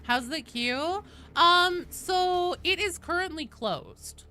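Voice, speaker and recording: A woman's voice: extremely high pitch, takes it slowly, sharp tone. A woman doing casual live gaming commentary, informal and sweary, chatting with her viewers. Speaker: game streamer, host.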